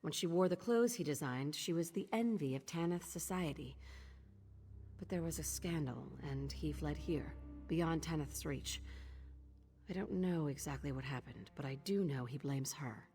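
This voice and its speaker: Low-voiced, resolute thieves guild leader. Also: female voice, moderate pace, dark tone, slightly rough, big-room sound, faint music behind. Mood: measured, cool. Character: determined, guarded female thieves guild leader. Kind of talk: video game voice acting.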